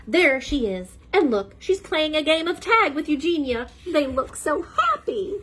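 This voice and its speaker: A woman's voice: high voice, very animated, unhurried, a bit bright, pitched above the performer's own voice, heavily acted. A woman voicing a cheerful, oblivious, doting wife in a children's storybook. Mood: cheerful, fond.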